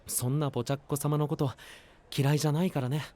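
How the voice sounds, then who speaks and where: A man's voice: a little high, little pitch movement, warm tone, natural voice. A man voicing a young male knight and diligent royal aide, boyish and exasperated, in an anime-style voice drama. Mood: fondly resigned. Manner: brisk, boyish, exasperated retorts.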